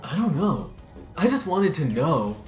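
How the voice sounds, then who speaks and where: Sheepish, hesitant young guy played by a woman, amateur comedy reading, animated delivery, music faintly behind. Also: deep voice, a little slow, echoey room. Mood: casual. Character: sheepish, dim young man caught cheating.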